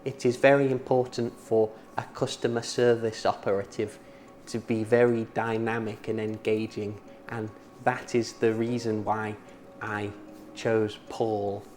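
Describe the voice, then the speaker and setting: A man playing an earnest, bumbling model train company man who presents in a halting way, in a mock corporate comedy. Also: lowish voice, little pitch movement, over music, lightly acted. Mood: matter-of-fact.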